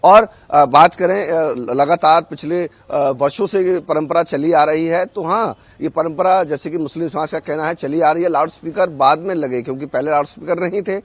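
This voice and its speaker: A man giving an unscripted live news report as a correspondent, halting often to search for words. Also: fairly high pitch, dry recording, dull audio.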